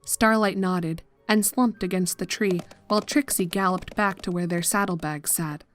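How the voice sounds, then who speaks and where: Narrator: woman, lowish register, measured dramatic horror storytelling over soft music. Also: fairly even intonation.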